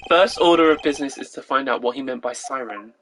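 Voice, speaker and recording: A woman's voice: rumbling low, quick pace. A woman playing an earnest, anxious young male lawyer in a video game, speaking with urgent concern. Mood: brooding.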